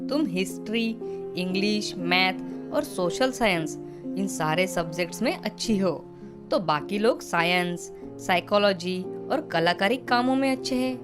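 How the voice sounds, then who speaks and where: A woman voicing a principled, frank schoolboy who admonishes earnestly in an animated moral story. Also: mid-range voice, measured pace, over music, natural voice. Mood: earnest advice. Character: principled schoolboy classmate, frank and level-headed.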